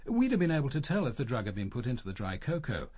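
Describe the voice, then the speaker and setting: Husky, measured police inspector. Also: male voice, medium pitch, huge pitch swings, dark tone, no echo. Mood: persistent. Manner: formal, politely probing. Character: measured, probing male police detective inspector, courteous but persistent. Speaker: actor in a radio detective drama. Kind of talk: radio drama dialogue.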